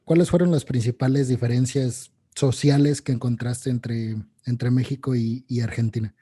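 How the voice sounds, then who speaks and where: A man's voice: mid-range voice, steady tone, normal speed, warm tone, slightly rough. A man interviewing a guest, asking brief, polite questions.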